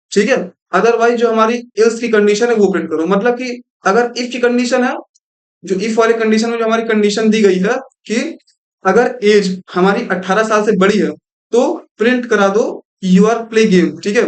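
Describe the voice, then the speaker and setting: A young man giving a screen-recorded programming lesson, explaining step by step and mixing in English terms. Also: sits high. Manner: explanatory, repeats "thik hai" and mixes in English terms. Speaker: programming tutor.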